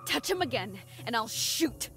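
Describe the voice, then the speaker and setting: A woman's voice: fairly high pitch, sing-song, normal speed. An actress playing a tense, protective young female thief in an audio drama, speaking with urgency. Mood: defiant, frightened. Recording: music bed behind dialogue.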